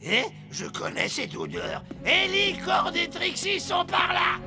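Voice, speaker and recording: A man voicing a boastful, excitable little comic sidekick in a cartoon dub, with a pompous, theatrical manner. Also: very high-pitched, slow, heavily acted. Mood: proud, excited. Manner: pompous, theatrical, refers to himself in third person. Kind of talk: animated cartoon dub acting. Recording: loud music under the voice.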